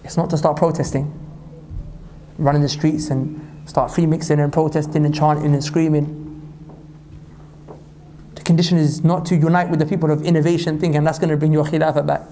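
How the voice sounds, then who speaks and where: A man from Pakistan delivering an impassioned sermon as a preacher, reciting scripture quickly and climbing to high emphatic peaks. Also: little pitch movement, dark tone, a little hiss or hum. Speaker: Islamic preacher.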